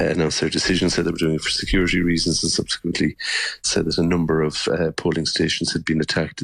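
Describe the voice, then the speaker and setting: A man with an Irish accent and a deep voice gives spontaneous answers in a news interview. He is halting, often doubling back on a word before finishing the sentence. The sound is dry, with little room around him. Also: vocal fry, fairly bright tone, no room sound. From Ireland. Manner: halting, often repeating words mid-sentence. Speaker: election observer and politician giving interview.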